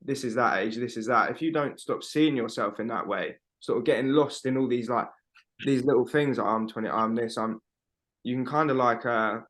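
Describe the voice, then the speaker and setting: A man's voice: mid-range voice, normal speed, a bit bright. A man, co-hosting a podcast over a video call from home, rambling emphatically and swearing freely. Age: 20s. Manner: rambling, emphatic, swears a lot, fillers like "bro". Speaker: podcast co-host. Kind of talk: casual chat.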